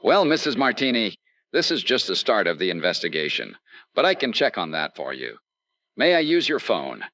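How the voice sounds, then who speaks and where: An actor playing a wry, polite and businesslike insurance investigator in an old-time radio detective drama. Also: male voice, on the low side, huge pitch swings, normal speed, sharp tone, exaggerated voice. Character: upbeat, courteous, wry male insurance investigator.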